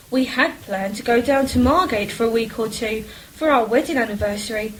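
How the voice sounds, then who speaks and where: An actress as a high-voiced, genteel English housewife. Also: female voice, low-quality recording. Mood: chatty. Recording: faint background noise.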